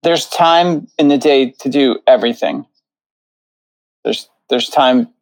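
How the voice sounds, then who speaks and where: A man's voice: mid-range voice, takes it slowly, rough recording. A man talking casually as an interview guest, repeating phrases for emphasis. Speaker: podcast guest.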